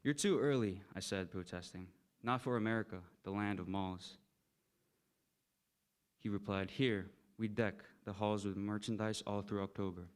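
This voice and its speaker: Clear, low-voiced young man reading aloud. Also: moderate pace. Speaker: student reader. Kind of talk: poem read aloud.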